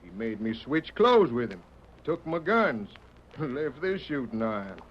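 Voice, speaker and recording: A man's voice: medium pitch, sing-song, a little slow. A man acting a folksy, indignant lone drifter in an old radio Western, with an American Western drawl and exclamatory talk. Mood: exasperated. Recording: dull, hissy old radio recording.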